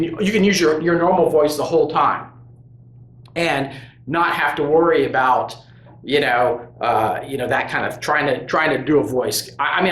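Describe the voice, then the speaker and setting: A man's voice: on the high side, fairly bright tone, roomy sound, poor audio. A man hosting a tabletop gaming channel, talking casually and earnestly to camera, rambling with many restarts and fillers. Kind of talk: casual opinion monologue to camera.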